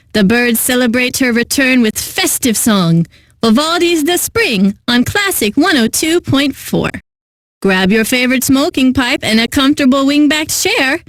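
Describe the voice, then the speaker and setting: A woman's voice: a little high, no room sound, studio quality. An actress playing an eccentric, lovelorn female classical radio host in a video game, announcing with genteel poise and wistful, theatrical delivery. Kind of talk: scripted radio DJ announcing with comic drama.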